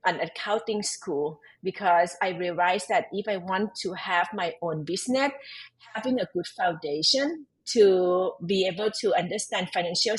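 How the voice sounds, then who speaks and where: A woman's voice: on the low side, poor audio. A woman from Thailand with a Thai accent, a tax advisor who speaks Thai natively and tells her story in earnest, searching for words in her learned English as a podcast guest. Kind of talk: storytelling in an interview.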